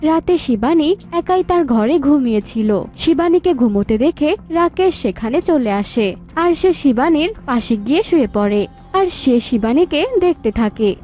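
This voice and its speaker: A woman's voice: high voice, animated, measured pace, warm tone, soft background music. A woman reading scripted story narration.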